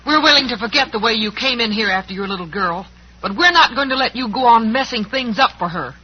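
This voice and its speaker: A woman's voice: fairly high pitch, animated, quick pace, close to an everyday voice. An actress playing a kind but firm motherly cowgirl with an American accent in an old-time radio drama. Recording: muffled, hissy old radio recording.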